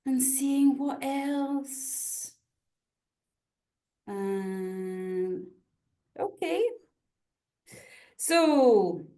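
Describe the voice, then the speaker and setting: A Scottish woman hosts an online session, speaking slowly and unhurriedly in a higher voice. She is chatty and friendly and fills gaps with ums and uhs. Her voice comes through a video call mic. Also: darkish tone. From Scotland. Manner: friendly, chatty, with frequent fillers. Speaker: webinar host. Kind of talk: casual online session hosting.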